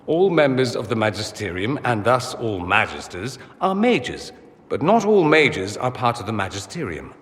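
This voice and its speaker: An actor playing a witty aristocratic mage in a fantasy game, theatrical, sardonic and very sure of himself. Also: male voice, medium pitch, very animated, moderate pace, close to an everyday voice. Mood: suave, self-assured. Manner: theatrical, sardonic, self-assured. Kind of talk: video game dramatic acting. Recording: long hall reverb.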